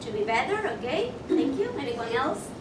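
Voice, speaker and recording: High-voiced woman leading a workshop. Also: very animated. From Ecuador. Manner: slow and deliberate, asks the audience questions. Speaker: workshop presenter. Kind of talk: motivational workshop talk. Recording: echoey room, mic far off, noisy.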